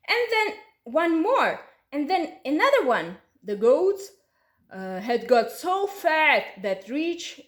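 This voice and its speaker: A woman with an Uzbek accent voicing a fat, rich, greedy older man who boasts deliberately in a storybook folk tale. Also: high-pitched, heavily acted. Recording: roomy, echoey sound.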